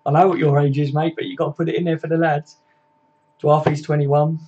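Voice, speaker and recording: A man's voice: fairly high pitch, level tone, brisk, darkish tone, husky, muffled recording. A man, hosting a casual live stream, chatting matily with viewers and laughing easily. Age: 20s.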